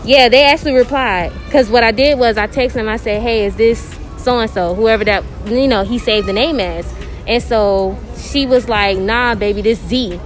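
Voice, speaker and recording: A young woman from South Carolina tells her story with animated, confiding energy in a slightly high voice. She speaks into a handheld phone in a clothing store, with light music behind her. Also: moderate pace. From Columbia, South Carolina. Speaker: interviewee. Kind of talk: casual storytelling. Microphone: interviewer's handheld phone. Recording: background music under voices.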